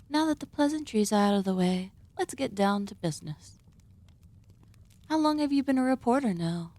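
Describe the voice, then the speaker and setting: A woman's voice: mid-range voice, a little mellow, dry recording, lightly acted. Woman acting a gracious, genteel, sinister dinner hostess. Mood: intrigued, coy. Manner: polite, genteel, deliberate, formal phrasing. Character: gracious, sinister female cannibal surgeon hosting dinner. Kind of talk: ASMR roleplay, scripted monologue addressed to listener.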